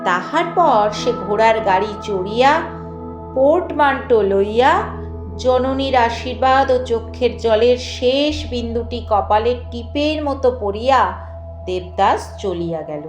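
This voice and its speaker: Slow, high-voiced woman storyteller with echo. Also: animated. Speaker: audiobook narrator. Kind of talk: dramatic literary novel narration. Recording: echoey voice with music behind. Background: music.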